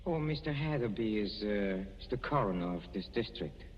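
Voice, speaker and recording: An actor playing a menacing, mock-tender jealous husband in a 1940s radio suspense drama, with sardonic endearments and halting pauses. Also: male voice, lowish voice, expressive, natural voice. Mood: coolly sinister. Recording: dull, noisy, echoey 1940s radio recording.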